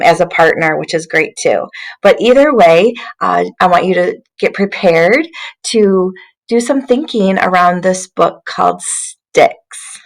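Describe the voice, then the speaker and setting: A woman's voice: medium pitch, vocal fry, rough recording. A woman literacy coach leading an interactive read-aloud for young children, instructive, prompting listeners with questions.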